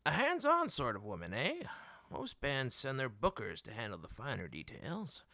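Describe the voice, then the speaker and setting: A man's voice: medium pitch, huge pitch swings, normal speed, vocal fry, sharp tone, no room sound, full character voice. A man voicing a whiskered, balding merchant, a brash wheedling huckster with folksy showman patter, in an audiobook. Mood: eager, hawking. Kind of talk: audiobook character voice.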